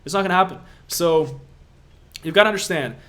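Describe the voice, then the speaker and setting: A man's voice: high voice, fairly quick, fairly bright tone. A young man from Latvia, an online business coach answering viewer questions on a live stream, emphatic and pushy, repeating himself for effect.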